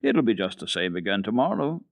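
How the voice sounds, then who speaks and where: A matter-of-fact young goatherd, answering flatly and offhand, as a man plays him in a radio storybook. The voice is gravelly and the room is dry. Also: fairly low pitch, fairly quick, no room sound, full character voice. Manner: flat, offhand.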